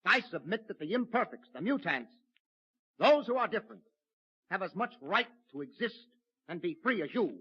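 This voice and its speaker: Rebellious young mutant, husky, swings widely in pitch, muffled old radio sound. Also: male voice, big pitch swings, normal speed, full character voice. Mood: impassioned. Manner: impassioned orator, tender when quiet. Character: defiant young mutant man, crippled leg. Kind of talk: 1950s radio drama acting. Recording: muffled vintage radio broadcast.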